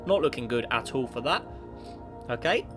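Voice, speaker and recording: A man's voice: mid-range voice, expressive, moderate pace. A man with a cold, chatting casually as he plays a space simulation game, rambling and talking back to the game. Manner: rambling, self-correcting, talks to the game. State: has a cold, sniffly. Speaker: gaming YouTuber. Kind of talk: casual gameplay commentary. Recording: clear background music. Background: background music.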